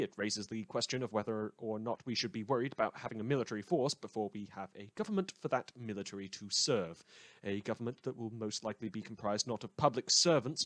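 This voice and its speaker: A man playing a snide radio newsman in a superhero world, with a very animated, swooping pitch and a dry, close sound. He delivers scripted satirical news in an articulate, sardonic way, laying on mock earnestness as he airs his opinions. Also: dry recording, lightly acted. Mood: sardonic, suspicious.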